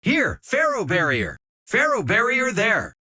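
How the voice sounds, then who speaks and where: A woman playing a cheerful, friendly fighter with a husky voice in a video game. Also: medium pitch, wildly swooping pitch, measured pace, sharp tone, no echo, clean studio sound, full character voice. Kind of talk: video game character callouts.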